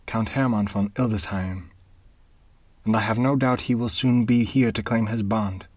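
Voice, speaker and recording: A man's voice: on the low side, steady tone, normal speed, a little mellow, no echo, pitched above the performer's own voice, lightly acted. A man voicing an anxious, earnest young baron in a storybook reading, pleading and imploring. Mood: contrite.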